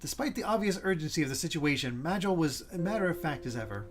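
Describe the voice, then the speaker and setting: A man's voice: a little high, very animated, fast. A man co-hosting a game stream, reading the story aloud as narrator with casual asides. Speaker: guest co-host of a game stream. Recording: clear background noise.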